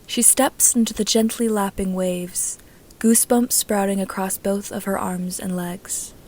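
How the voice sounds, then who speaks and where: A woman's voice: medium pitch, fairly even intonation, a little slow, darkish tone. Woman narrating a story. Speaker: amateur podfic narrator reading own story.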